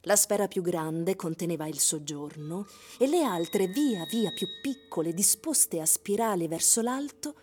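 An actress playing a knowing narrator in a literary radio drama, measured and storytelling, with a husky, mellow voice. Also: female voice, lowish voice, lively intonation. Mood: wistful, descriptive. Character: wry, knowing female narrator of surreal fiction.

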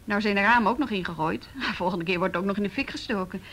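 An actress playing a businesslike female team leader in a naturalistic radio drama, brisk and conversational. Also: medium pitch, a bit bright. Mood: brisk, practical.

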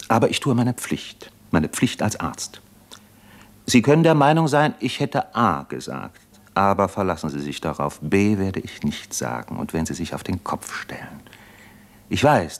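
Weary, disillusioned captive doctor, low-voiced. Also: male voice, exaggerated voice. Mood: bitter, defiant. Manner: articulate, bitterly ironic, impassioned in long speeches. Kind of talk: German radio play acting.

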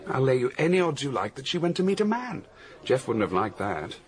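An actor with an English accent playing an easygoing, cynical widower in a radio drama, frank and flippant in manner. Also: male voice, mid-range voice, very animated, brisk, natural voice. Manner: flippant, candid, wry. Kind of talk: British radio drama acting.

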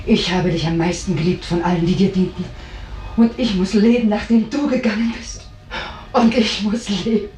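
A woman's voice: medium pitch, fairly even intonation, normal speed, darkish tone, distant mic, soft background music, natural voice. Woman acting downtrodden maidservant, plaintive, weary, resentful, radio drama, echoing room, slightly off mic. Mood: plaintive lament.

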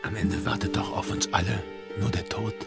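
Jack, tender easygoing pirate lover. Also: male voice, low-pitched, steady tone, moderate pace, creaky. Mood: tender, solemn. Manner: tender, intimate, hesitant. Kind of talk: German radio drama acting. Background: music.

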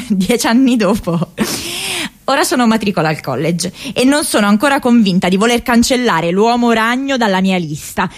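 An actress playing a restless, playful, intense college-age young woman in a radio theatre monologue, confessional and halting with dramatic pauses and bursts. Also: medium pitch. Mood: wry, playful.

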